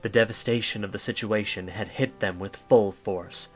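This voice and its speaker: A man's voice: on the low side, steady tone, moderate pace, soft background music. A man narrating a dramatic story from a script for an audio drama.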